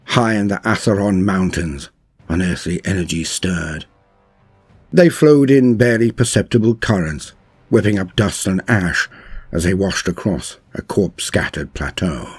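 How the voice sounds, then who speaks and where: Male narrator for epic fantasy lore: low, rough-edged voice, grave and theatrical, over music. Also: lively intonation, a little slow, no echo. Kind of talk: scripted dramatic storytelling narration.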